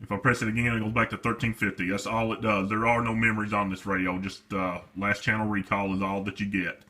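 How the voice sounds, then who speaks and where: A man's voice: on the low side, flat delivery, fast talker, sharp tone. A man giving a casual demonstration of a product as its seller, talking off the cuff.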